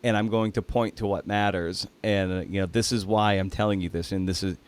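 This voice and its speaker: A man hosting a casual interview podcast, talking in a thoughtful, halting way with trailing asides. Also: sits low, steady tone, smooth. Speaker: podcast host interviewing a writer.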